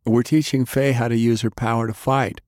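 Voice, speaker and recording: Proud, upbeat young man, voiced by a narrator, lifted pitch, adventure audiobook. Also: little pitch movement, moderate pace, darkish tone, no echo, studio quality, lightly acted.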